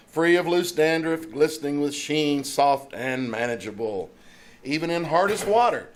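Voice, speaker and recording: A man's voice: mid-range voice, slight background noise, close to an everyday voice. A man playing a polished 1950s radio announcer reading scripted sponsor copy in a live stage show. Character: smooth 1950 radio announcer pitching shampoo. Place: small-town theater stage, performed live.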